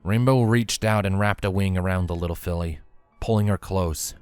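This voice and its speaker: Low-pitched man narrating a story. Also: normal speed, a little mellow, smooth, dry recording. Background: background music.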